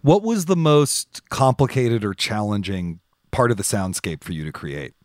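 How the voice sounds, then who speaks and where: Casual male interviewer, lowish dry-recorded voice. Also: lively intonation, normal speed, no echo. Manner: informal, thinking aloud. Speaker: podcast host, interviewer.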